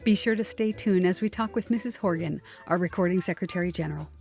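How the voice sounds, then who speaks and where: A woman's voice: on the low side, quick pace, gravelly, darkish tone. A woman hosting a podcast, reading announcements in a friendly, upbeat way and asking interview questions. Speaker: podcast host. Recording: music playing behind.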